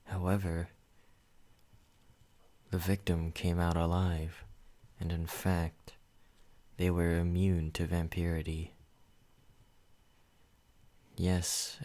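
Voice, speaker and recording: A man playing a slow, deep-voiced vampire. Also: dark tone. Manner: slow, low and measured, addressing the listener. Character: calm possessive male vampire host. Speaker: ASMR roleplay creator. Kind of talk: ASMR roleplay monologue.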